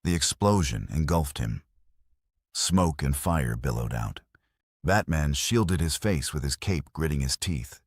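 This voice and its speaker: A man's voice: a bass voice, expressive, a little slow, breathy edge, no room sound, clean studio sound. A man narrating an action audio novel in a dramatic storytelling style.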